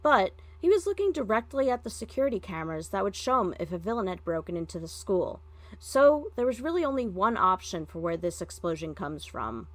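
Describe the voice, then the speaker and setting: A woman's voice: mid-range voice, very animated, fairly quick, no echo. A woman reading a story aloud as scripted narration. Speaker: fan fiction podfic reader.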